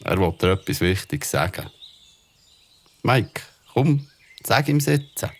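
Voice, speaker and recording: An actor playing a calm, firm and supportive father in a children's audio drama. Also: sits low, slow pace, heavily acted.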